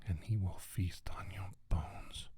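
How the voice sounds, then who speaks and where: A man playing a menacing alien scientist in an ASMR roleplay, speaking in a breathy, raspy near-whisper with slow, imperious deliberation. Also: deep voice, warm tone, exaggerated voice. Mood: cold menace. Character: menacing alien scientist bent on destroying humanity. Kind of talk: soft-spoken ASMR roleplay monologue.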